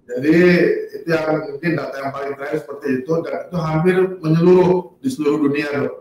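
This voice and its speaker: A man, an oncologist guest explaining in a measured way that lists points, speaking over a video call. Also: a little high, fairly even intonation, crowd noise. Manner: measured, listing points, frequent "ya". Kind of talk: explaining to a radio audience. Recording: echoey distant video call with noise.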